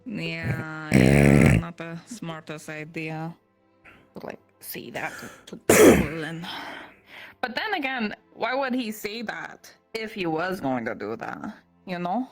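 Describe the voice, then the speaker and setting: A woman's voice: sits low, sing-song, slow, gravelly, darkish tone, faint music behind, heavily acted. A woman playing an earnest, naive young woman in improvised fantasy roleplay, talking hesitantly and informally, often trailing off. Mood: pensive.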